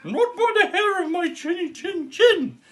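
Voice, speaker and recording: A man's voice: very high voice, animated, a little slow, pitched above the performer's own voice. A man voicing a small, frightened little pig. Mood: defiant.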